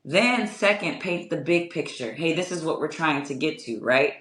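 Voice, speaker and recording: A young adult woman with a strong voice, answering interview questions in a measured, reflective way with many fillers. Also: lively intonation, fairly bright tone, a little hiss or hum. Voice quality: strong, low-ish voice. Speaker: guest, construction company owner. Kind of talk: interview conversation. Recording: echoey room.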